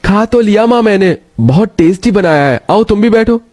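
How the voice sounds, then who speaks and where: A man's voice: sits high, lively intonation, moderate pace, a little mellow, faint music behind, pitched above the performer's own voice. Guy doing a young auto driver husband in a story, voice pushed way up, easygoing. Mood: casual.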